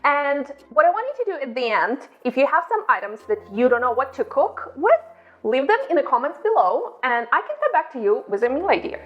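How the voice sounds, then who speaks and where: A chatty woman with a Ukrainian accent walks viewers through her cooking staples in a home kitchen. Her voice sits high, and the recording sounds slightly dull and lo-fi. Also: big pitch swings, fairly quick. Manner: upbeat, chatty, slightly non-native phrasing. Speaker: cooking channel host. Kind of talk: casual cooking demonstration to camera.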